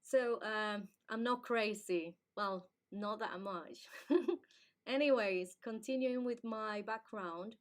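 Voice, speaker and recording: A woman, talking live into a webcam as podcast co-host. She speaks at a middle pitch, in a chatty, personal way. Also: takes it slowly. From Dominican Republic. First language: Spanish. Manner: chatty, warm, personal storytelling with fillers. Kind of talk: casual live podcast chat. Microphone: webcam on a live video stream.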